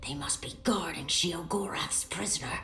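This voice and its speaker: An actress playing a calm, caring bard companion in a fantasy video game, measured and serious in manner. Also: female voice, fairly low pitch, lightly acted. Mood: earnest warning. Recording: echoey, faint music behind.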